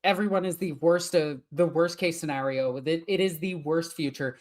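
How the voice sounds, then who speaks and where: A woman with an Icelandic accent and a notably low-pitched voice co-hosts a casual podcast. She talks as an enthusiastic fan, gushing about what she loves and laughing easily. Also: moderate pace, darkish tone. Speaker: podcast co-host. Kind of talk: casual chat.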